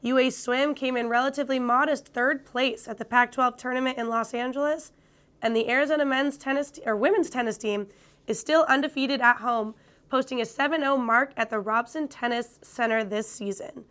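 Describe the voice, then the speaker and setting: A woman's voice: high voice, brisk, a bit bright. A young woman presenting a student sports chat show as its host. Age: young adult.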